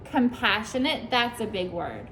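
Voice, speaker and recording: A woman teacher reads a picture book aloud to young children, pausing to ask them questions and praise their answers. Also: a little high, very animated, a bit bright, a little hiss or hum. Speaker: preschool teacher.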